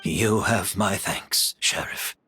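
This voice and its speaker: An actor playing a frail, courteous and cunning old man in a video game, with a weary, raspy voice and measured delivery. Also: low voice, sharp tone, faint music behind, exaggerated voice. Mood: grateful. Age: older. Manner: measured, genteel.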